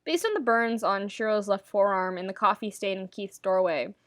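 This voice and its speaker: A woman reading a scripted story aloud, with wry, dramatic timing and deliberate pauses. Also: medium pitch, a bit bright, no room sound, clean studio sound. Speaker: podfic narrator reading fan fiction.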